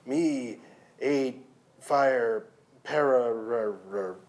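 A man's voice: mid-range voice, darkish tone. A man doing a dazed cursed bull-man. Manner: halting broken words, slow.

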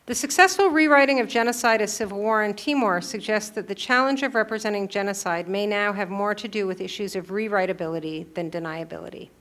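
A woman presenting her research at a panel, reading a prepared paper in a measured, formal way. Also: mid-range voice, fairly bright tone. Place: lecture room at a panel event.

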